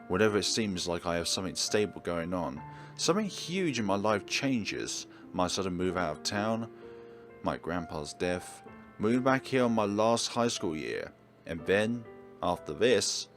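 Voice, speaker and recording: A man voicing an awkward, earnest high school protagonist who speaks hesitantly in a visual novel. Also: expressive, soft background music. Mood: anxious, earnest. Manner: hesitant, halting, stammering.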